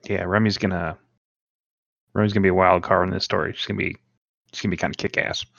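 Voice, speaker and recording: A man's voice: low-pitched, flat delivery, fast talker, creaky, a bit bright, dry recording. A man, the author of the story, chatting in a laid-back way and making dry remarks. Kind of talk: casual chat. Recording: dull, muffled sound.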